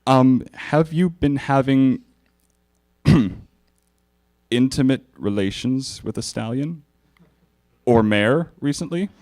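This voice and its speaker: A man's voice: medium pitch, slow, pauses often, a little mellow, voice pushed up, lightly acted. A man voicing a nervous male pony doctor who stammers and hesitates, in a live comedic reading.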